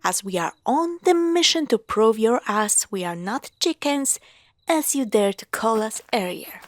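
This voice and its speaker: Woman acting a lively, sarcastic young girlfriend. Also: on the high side, measured pace, dry recording. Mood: snarky bickering. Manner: teasing, sarcastic, giggly, expressive. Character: playful, sarcastic young girlfriend teasing and bantering. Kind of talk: spooky roleplay audio drama, acted one-sided dialogue.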